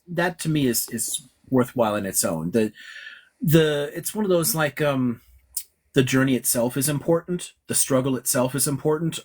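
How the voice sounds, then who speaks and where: A man, a professor, talking bluntly and with a lot of swearing on a casual live stream, quick and full of restarts. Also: mid-range voice, breathy edge. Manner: blunt and profane, fast with many restarts. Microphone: live-stream setup in his office.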